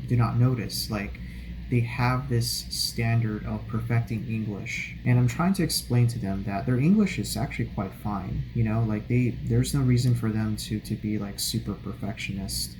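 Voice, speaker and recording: A young man talking casually to camera, animated and digressive, thinking out loud as he answers questions. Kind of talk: casual rambling talk to camera. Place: hotel room. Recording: noisy low-grade home recording.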